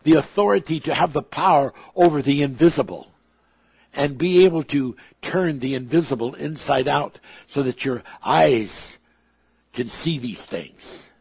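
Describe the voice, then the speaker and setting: A man's voice: a little high, a little slow, a little mellow, no room sound. A man preaching a sermon, emphatic, with his volume swelling and dropping. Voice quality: gravelly, husky.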